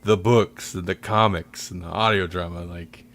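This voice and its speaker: Low voiced guy on a podcast, kinda rambling halting casual chat. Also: steady tone, a bit bright. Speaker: podcast host. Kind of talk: casual chat reviewing audio dramas.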